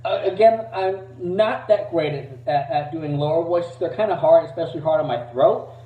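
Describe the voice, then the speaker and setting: A man giving a casual, rambling demo of voices as an amateur impressionist, hesitant and self-deprecating. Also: normal speed. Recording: roomy, distant, dull low-fidelity mic.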